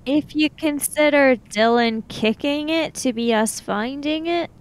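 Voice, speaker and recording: A woman's voice: sits high, measured pace. A woman improvising in-character talk in a tabletop roleplaying game, with a questioning, skeptical manner.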